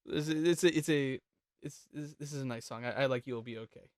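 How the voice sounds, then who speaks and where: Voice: medium pitch, a little fast, no room sound, clean studio sound. A host leading a casual group chat that ranks songs, joking and swearing freely.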